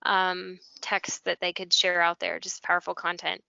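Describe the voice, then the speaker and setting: An American woman with a bright, clear voice talks in a conversational, explanatory way, walking listeners through examples. Her intonation stays fairly flat, and the close, dry audio sounds like an online presentation. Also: lowish voice, moderate pace, no room sound, muffled recording. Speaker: school technology integration specialist, webinar guest. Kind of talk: conversational software walkthrough.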